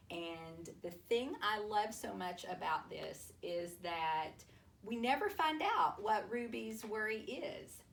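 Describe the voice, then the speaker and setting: A woman reading a children's storybook aloud to families, with expressive, deliberate delivery and drawn-out emphasis. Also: medium pitch, gravelly. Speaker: school superintendent reading a picture book aloud to families. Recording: roomy, distant mic with faint noise.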